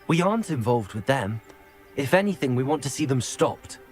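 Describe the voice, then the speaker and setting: A man's voice: mid-range voice, very animated, normal speed, faint music behind, natural voice. An actor playing an earnest, curious young male wizard in a video game, polite and thoughtful. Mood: conciliatory. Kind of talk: video game cinematic acting.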